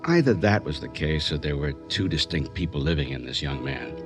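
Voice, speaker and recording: An actor playing a composed male psychiatrist who narrates in a measured, clinical manner for a radio drama. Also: extremely low pitch, animated, over music. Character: composed male psychiatrist recounting a strange case.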